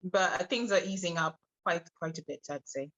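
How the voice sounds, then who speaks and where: A woman in her thirties from Trinidad, a podcast guest telling her personal story with many hesitant pauses. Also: lowish voice, fairly bright tone. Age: 30s. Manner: hesitant, many filler pauses, laughs between thoughts. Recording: muffled lo-fi audio.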